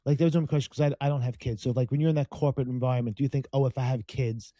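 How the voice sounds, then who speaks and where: A man's voice: medium pitch, fairly even intonation, rapid-fire, dark tone, no echo. A male podcast co-host asking casual, rambling questions that he restarts as he goes.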